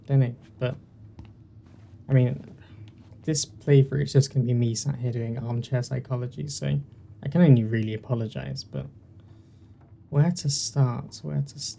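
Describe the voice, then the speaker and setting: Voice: medium pitch, gravelly, warm tone, slight background noise. A video game host chatting casually through a playthrough in a rambling, self-deprecating way, on a poor-quality home mic. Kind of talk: casual commentary while playing a game.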